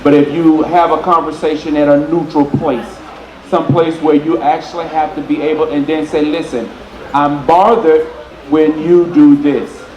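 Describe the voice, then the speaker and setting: A man giving unscripted relationship advice, explaining deliberately and repeating phrases as he works through his point. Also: a little high, fairly even intonation, a little mellow. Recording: roomy echo with faint noise.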